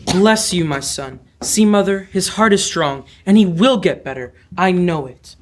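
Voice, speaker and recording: A man's voice: sits high, lively intonation, measured pace, a little hiss or hum. A young man playing a kindly, hopeful father in an old-time radio drama. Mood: fond, hopeful. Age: teens.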